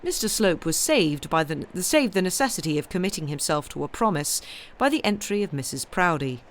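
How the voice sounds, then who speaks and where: A woman reading literary fiction aloud as an expressive audiobook narrator. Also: on the low side, brisk. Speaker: audiobook reader.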